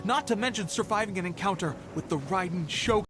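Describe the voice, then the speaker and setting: Eager young soldier in a game, high voice, chatty and upbeat, music behind. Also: male voice, expressive, brisk. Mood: proud, rallying. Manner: chatty, enthusiastic, encouraging. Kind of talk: video game voice acting.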